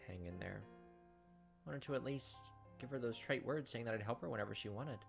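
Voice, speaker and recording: A man's voice: mid-range voice, fairly quick, creaky. A man hosting a let's play, reading game text aloud dramatically with casual asides. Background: game music.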